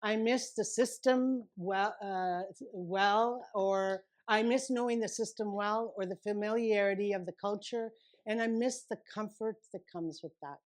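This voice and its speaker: A woman giving a relaxed, conversational lecture, thinking aloud and taking questions. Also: medium pitch, a little slow. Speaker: guest lecturer. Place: lecture room.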